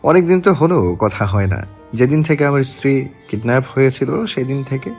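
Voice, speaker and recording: A man with a Bangladeshi accent playing a self-assured young politician and husband in a measured, intimate way, in a romantic audio story. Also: mid-range voice, darkish tone, soft background music, lightly acted. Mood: coolly threatening. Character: young male politician, tender husband, coolly menacing to rivals.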